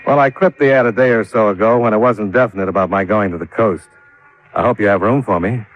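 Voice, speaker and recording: Man playing a low-voiced, wry radio private eye. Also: quick pace, close to an everyday voice. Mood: dry, casual. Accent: American. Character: wry, steady American male private insurance investigator. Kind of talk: 1950s radio drama acting and first-person narration. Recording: muffled vintage radio recording with music.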